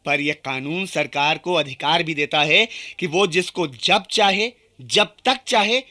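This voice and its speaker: A man's voice: fairly high pitch, unhurried, pushed and bright. An actor playing a shrewd, indignant Indian townsman in a radio drama, explaining things in a folksy, emphatic way. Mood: knowing, indignant.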